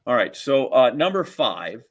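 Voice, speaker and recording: A middle-aged man with an average-pitched voice co-hosts a casual sports podcast. He talks conversationally and needles his partner with dry sarcasm. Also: big pitch swings, a little slow. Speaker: podcast co-host.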